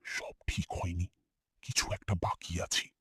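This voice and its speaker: A man's voice: low voice, wildly swooping pitch, a little slow, heavily acted. A man playing a brave, earnest young village man in a cartoon horror story. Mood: uneasy. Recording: music behind the voice.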